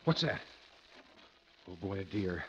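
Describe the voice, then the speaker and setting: A man's voice: low voice, sing-song, slow pace, fairly bright tone, breathy edge, full character voice. A man playing an eager, exasperated hunting companion, the straight man in a 1940s radio comedy sketch. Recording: muffled, noisy vintage radio recording.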